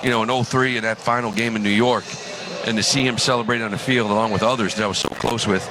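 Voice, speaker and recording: Low-voiced man reminiscing in a noisy booth. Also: fairly even intonation. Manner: halting, reflective, with many fillers. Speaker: former player turned broadcaster. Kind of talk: emotional on-air remembrance. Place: ballpark broadcast booth. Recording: broadcast booth with clear ballpark noise.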